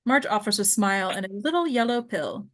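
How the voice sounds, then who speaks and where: A woman's voice: mid-range voice, animated, a little fast, a bit bright. Woman reading screenplay stage directions. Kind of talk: scripted narration at a table read.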